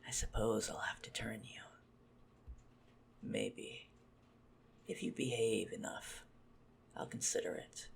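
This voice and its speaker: A man playing a possessive, menacing, smug vampire stalker in an ASMR roleplay, haughty and teasing in a deliberate murmur. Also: lowish voice, creaky, exaggerated voice. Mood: possessive, gloating.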